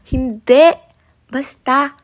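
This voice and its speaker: A woman's voice: sits high, steady tone, very slow, no room sound. A young woman playing bubbly, teasing Liza. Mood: playful. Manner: playful, mixes English into her talk. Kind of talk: student radio drama.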